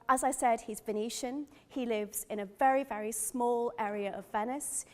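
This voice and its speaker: Middle-aged Northern Irish woman, slightly high voice, curator giving a lively personal gallery talk. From Northern Ireland. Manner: earnest, enthusiastic, personal. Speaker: museum curator. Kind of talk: gallery lecture. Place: gallery or lecture room.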